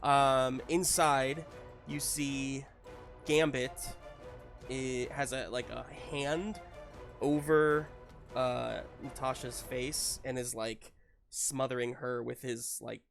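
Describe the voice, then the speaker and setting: A man's voice: mid-range voice, little pitch movement, slow, creaky, soft background music. A man running a tabletop roleplaying game, narrating casually and checking in with his players in an encouraging way.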